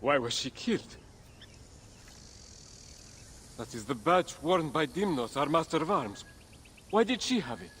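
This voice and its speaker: Peremptory game scholar: older, demanding, higher-set voice, very lively intonation, faint ambient chirring. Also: male voice, moderate pace, slight background noise. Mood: alarmed. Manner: expressive, peremptory. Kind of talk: dramatic video game cutscene acting.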